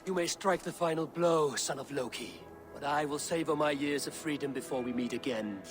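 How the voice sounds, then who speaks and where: An actor playing a stern, weary but defiant Norse god leader in a video game, with solemn, formal speech. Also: male voice, on the high side, moderate pace, loud music behind the voice, close to an everyday voice. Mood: weary defiance. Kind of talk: dramatic video game acting.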